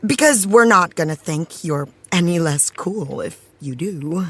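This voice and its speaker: An actress playing a relaxed, teasing TV star, speaking casually in a naturalistic audio drama. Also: female voice, on the low side, a little slow, breathy edge. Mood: friendly, teasing.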